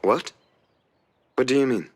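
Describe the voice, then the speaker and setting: A man's voice: mid-range voice, unhurried, darkish tone, close to an everyday voice. An actor playing a guarded, hurting man in a video game drama, protective and self-effacing, with a hesitant, defensive way of talking. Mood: defensive, irritated. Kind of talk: naturalistic dramatic visual novel voice acting.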